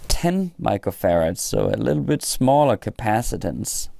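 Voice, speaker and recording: A man giving an informal hands-on technical explanation in a halting way, often pausing mid-sentence. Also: fairly low pitch, very animated, vocal fry, music in the background. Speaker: presenter of a hobby electronics tutorial.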